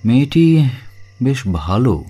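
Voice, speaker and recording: A man's voice: medium pitch, big pitch swings, takes it slowly, warm tone, faint music behind. An actor playing an anxious, hesitant young man in a radio play. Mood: subdued concern.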